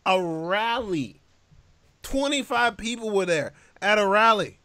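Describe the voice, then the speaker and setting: A man hosting a comedy podcast, riffing in an animated, loud, slangy way. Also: sits high, a little slow, no echo. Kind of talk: joking podcast banter.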